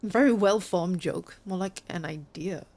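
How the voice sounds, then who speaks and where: Hurt, anxious woman, halting and probing. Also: on the low side, darkish tone. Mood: upset, accusing. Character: hurt, anxious woman clinging to her partner in a strained relationship. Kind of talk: naturalistic audio drama acting.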